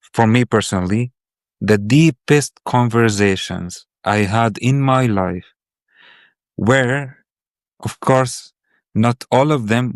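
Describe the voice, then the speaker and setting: A man whose first language is Arabic, hosting a podcast and asking reflective questions in a thoughtful, searching way, with pauses and repeated words. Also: mid-range voice, dry recording. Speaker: podcast host.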